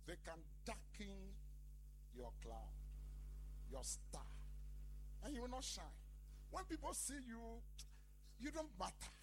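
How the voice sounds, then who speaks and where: A man's voice: high voice, fairly bright tone, a little hiss or hum. A man preaching a sermon as pastor, emphatic and calling out to his congregation. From Ghana. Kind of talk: lively sermon.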